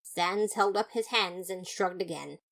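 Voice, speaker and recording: A woman's voice: fairly low pitch, measured pace, creaky, fairly bright tone, low-quality recording. A woman reading first-person fan fiction as a dramatized, emphatic narration. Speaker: podfic reader.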